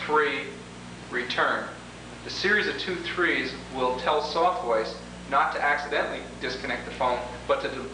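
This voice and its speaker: A man giving a measured, slightly formal, explanatory demonstration of computer software, as a product demonstrator. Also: medium pitch. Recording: distant, echoey, noisy 1980s demo tape with hum.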